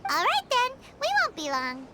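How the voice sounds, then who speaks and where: A woman voicing a tiny, childlike, excitable fairy companion in a video game, with a squeaky voice and bubbly, blunt manner. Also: moderate pace, noisy background, full character voice. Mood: reassuring. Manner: bubbly, blunt, refers to self by name.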